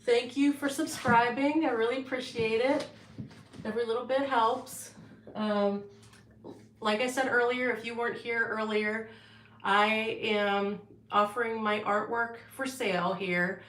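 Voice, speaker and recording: A woman's voice: on the high side, steady tone, unhurried, a bit bright. Woman, chatty artist, rambling livestream talk, roomy echo, faint hum. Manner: rambling and unscripted, with many fillers and restarts. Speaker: artist showing her paintings on a livestream. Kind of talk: casual livestream chat. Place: home art studio.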